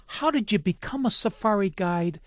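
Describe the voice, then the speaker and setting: A man's voice: high-pitched, lively intonation, moderate pace, dry recording. A man hosting a radio interview show, introducing and questioning his guest with enthusiasm. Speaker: radio talk show host.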